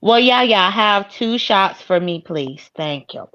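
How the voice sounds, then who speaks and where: A woman's voice: mid-range voice, expressive, slow pace, a bit bright. Gossipy woman guest on lo-fi stream audio. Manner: playful, gossipy, cursing. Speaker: guest on a livestream. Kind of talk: casual live-stream chat.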